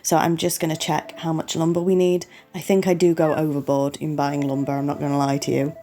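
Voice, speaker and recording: A woman's voice: deep voice, little pitch movement, fairly quick, warm tone. A woman with a cold, sniffly and losing her voice, chatting her way through a farming game playthrough and apologising along the way. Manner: apologetic, chatty, talks the viewer through each step. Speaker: gaming channel host. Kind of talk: relaxed let's-play commentary.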